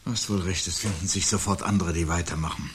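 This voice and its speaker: A man acting a weary, resigned intellectual husband in a 1970s radio play, speaking in a measured, reflective way with a husky voice. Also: sits low, steady tone, slight background noise, lightly acted. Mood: subdued, grave. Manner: measured, reflective, pedantic phrasing.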